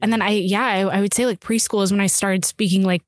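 A young woman from the United States, whose was Urdu, telling a story as a guest in casual chat, lively and full of "like". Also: mid-range voice, quick pace, no room sound, studio quality. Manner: animated storytelling, peppered with "like".

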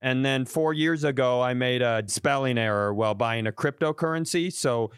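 A man, a comedian, casually riffing in halting starts as he builds up bits on a podcast mic. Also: mid-range voice, clear, resonant tone, no echo, studio quality. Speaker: comedian guest.

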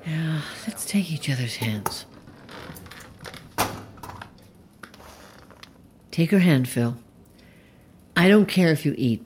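An actor playing a calm, zealous leader of an anti-technology movement in an audio drama, speaking in a measured, solemn, deliberate way. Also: male voice, on the high side. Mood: solemn, commanding. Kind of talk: immersive audio drama acting.

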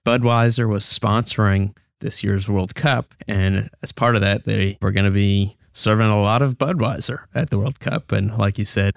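Low-pitched young American man co-hosting. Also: vocal fry, dry recording, studio quality. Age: young adult. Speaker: podcast co-host. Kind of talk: conversational news commentary.

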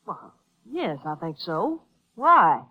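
A woman's voice: fairly low pitch, sing-song, takes it slowly, full character voice. An actress playing an anxious, folksy rural mother with a Southern American accent in a 1950s radio western, hesitant and protective. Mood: anxious, defensive. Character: anxious, folksy rural Texas mother defending her son. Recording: dull, lo-fi 1950s radio recording.

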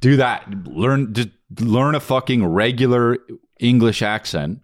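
A man co-hosting a casual podcast, talking in halting bursts with lots of restarts. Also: no echo, studio quality. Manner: halting, many restarts, goes quiet and low when musing. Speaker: podcast co-host.

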